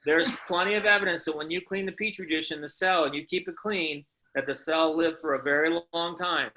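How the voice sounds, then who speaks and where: Bright, forceful higher-voiced man pitching. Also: fairly even intonation, brisk, slight background noise, poor audio. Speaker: host of a sales conference call. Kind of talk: motivational sales pitch with questions to listeners. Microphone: conference call.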